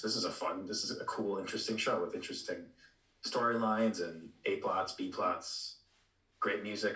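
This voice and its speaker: A man chatting casually on a podcast, in a halting way full of fillers and restarts. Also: deep voice, sharp tone, roomy sound, rough recording. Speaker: podcast panelist.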